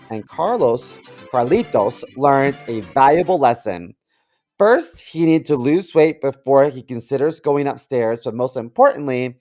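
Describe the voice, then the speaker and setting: Man, podcast co-host, comic storytelling and casual talk, medium pitch, faint music behind. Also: a little mellow. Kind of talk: reading a comic story aloud, casual chat.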